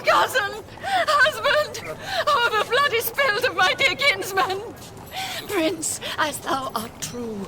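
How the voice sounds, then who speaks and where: A grief-stricken, vengeful noblewoman, wailing in a very high voice. It is classical radio drama, with loud crowd din all around. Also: full character voice, low-quality recording. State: crying, wailing. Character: Lady Capulet, grieving, vengeful noblewoman.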